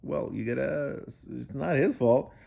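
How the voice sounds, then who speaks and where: A woman's voice: a bass voice, unhurried, gravelly, dark tone. A woman reading a children's story aloud expressively, breaking off for incredulous casual asides. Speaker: storybook reader.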